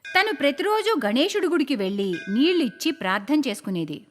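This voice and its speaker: High, animated woman narrator. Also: fast talker, soft background music. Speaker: story narrator. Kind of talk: scripted cartoon story narration. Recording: faint background noise.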